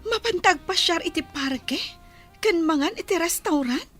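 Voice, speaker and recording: A gentle, dutiful young daughter in a radio drama. Her voice is high and reluctant, with light music behind it. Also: lively intonation, a little slow, exaggerated voice. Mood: reluctant, wistful.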